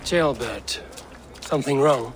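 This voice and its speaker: An actor playing a stern, resolute Viking raider in a video game, speaking in a terse, commanding manner. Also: male voice, mid-range voice, takes it slowly, background noise, natural voice. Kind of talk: dramatic video game acting.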